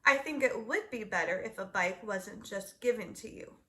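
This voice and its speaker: A practical storybook mother played by a woman reader, sensible and gently instructive. Her speech is measured and bright in tone. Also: mid-range voice, natural voice. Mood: practical, gentle. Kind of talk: children's storybook read-aloud.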